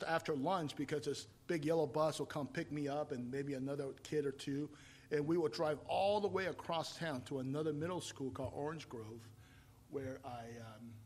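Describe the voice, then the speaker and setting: Man, 50s, from Taiwan, preacher, husky and slightly breathy voice, a little high, swings from forceful emphasis to quiet telling. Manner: builds to loud emphatic preaching, then drops to quiet storytelling. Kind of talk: sermon with personal testimony.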